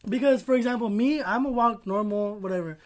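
A man co-hosting a podcast in casual chat, expressive and quick to laugh. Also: high voice. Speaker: podcast co-host.

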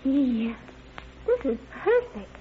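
A woman's voice: high voice, big pitch swings, slow pace, exaggerated voice. A woman playing a sweet, timid fifteen-year-old girl with an innocent manner in a radio drama. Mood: sweet, shy. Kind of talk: 1940s radio acting. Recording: tinny vintage radio, background noise.

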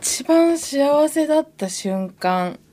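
A woman's voice: high voice, expressive, slow, mellow. A woman guest on a radio show, chatting casually but politely, a little bashful and hesitant. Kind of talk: casual chat in polite speech.

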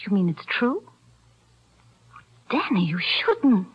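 A woman's voice: medium pitch, big pitch swings, takes it slowly, slightly rough, dull audio, exaggerated voice. An actress playing a worried young woman, earnest and pleading, in 1940s radio-drama style. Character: anxious young woman, the loyal girlfriend.

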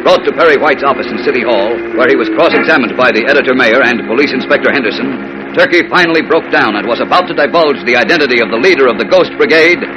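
A man's voice: mid-range voice, lively intonation, fast, dull audio. A man narrating a 1940s radio serial as announcer, in a dramatic, declamatory, urgent manner. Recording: vintage radio recording under loud music.

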